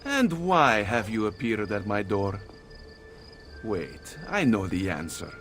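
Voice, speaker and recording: An actor playing a suspicious, sardonic master thief in a video game cutscene. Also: male voice, fairly low pitch, sing-song, slow, fairly bright tone, a little hiss or hum, heavily acted. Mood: sly.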